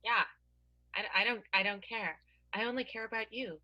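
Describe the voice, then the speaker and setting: A woman's voice: a little high, steady tone, moderate pace, bright, forceful tone, highs cut off. A woman playing a gushing, lovesick young woman. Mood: lovestruck. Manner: breathless, melodramatic. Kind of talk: improvised radio play.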